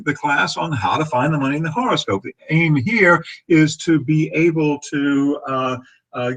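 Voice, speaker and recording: A man in his sixties teaching astrology in a home-study webinar, talking haltingly with many fillers and restarts and little chuckles, recorded on a desk webcam. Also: on the high side, poor audio. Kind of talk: casual live webinar talk. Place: home study lined with bookshelves.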